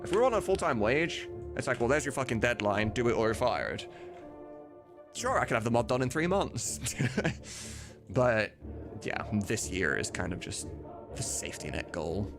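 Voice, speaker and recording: Animated, rambling male streamer over game music. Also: medium pitch, moderate pace. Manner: rambling, sweary, self-amused. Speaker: live streamer and game modder. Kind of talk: casual livestream chat.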